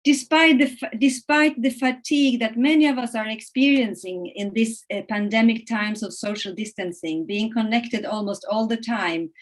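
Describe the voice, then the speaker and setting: A middle-aged woman whose first languages are Norwegian and Italian, giving a measured, earnest welcome address over a video call, with frequent hesitations and restarts. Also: fairly high pitch. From Norway and Italy. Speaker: conference opener. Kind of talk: formal welcome address, read.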